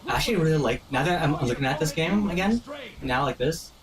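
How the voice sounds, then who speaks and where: A man's voice: fairly high pitch, lively intonation, quick pace, crowd noise. A man in casual gaming commentary, explaining things to friends in an enthusiastic, informal, slangy way. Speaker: host explaining the game to friends.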